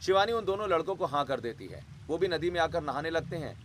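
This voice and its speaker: A man's voice: a little high, lively intonation, quick pace. A man narrating a scripted dramatic family story in a storytelling style.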